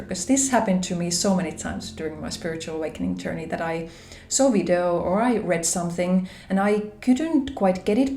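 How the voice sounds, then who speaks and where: Gravelly low Finnish-accented woman. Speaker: spiritual vlogger. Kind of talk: gentle reflective monologue to camera. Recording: roomy echo with soft background music.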